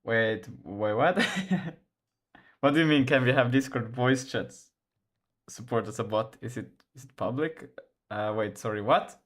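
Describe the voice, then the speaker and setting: A man's voice: mid-range voice, measured pace. Finland-Swedish young man, rambling stream chat. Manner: rambling, restarts often, laughs a lot. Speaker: game developer streaming. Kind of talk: casual live-stream chat while coding.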